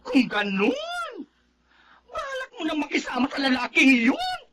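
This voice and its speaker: A woman's voice: sits high, huge pitch swings, a little slow, background noise, exaggerated voice. An actress playing a concerned young female cousin, earnest and chiding, in radio drama style. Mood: reproachful. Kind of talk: Filipino radio drama acting.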